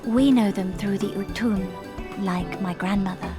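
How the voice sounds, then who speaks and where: A woman's voice: mid-range voice, measured pace, music in the background, lightly acted. An actress playing an earnest young tribeswoman who speaks in a pleading way. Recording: game soundtrack under the voice.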